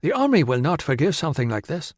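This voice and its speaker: A man's voice: fairly high pitch, very animated, quick pace, dry recording, clean studio sound, pitched above the performer's own voice. A man doing an anxious, earnest young woman. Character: worried young woman, devoted wife, anxious but firm.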